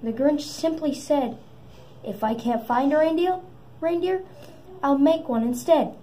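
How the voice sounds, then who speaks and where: A woman reading a children's story aloud in halting phrases, sometimes repeating words. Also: high voice, dark tone. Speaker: storybook reader. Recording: noisy amateur recording.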